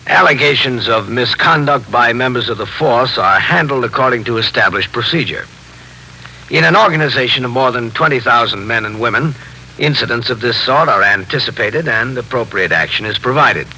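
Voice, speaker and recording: An actor playing a stern, methodical police captain in a 1950s radio drama, speaking in a measured, official and probing way. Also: male voice, medium pitch, sharp tone. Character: police captain narrating and questioning his men, stern, methodical. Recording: faintly noisy 1950s radio broadcast.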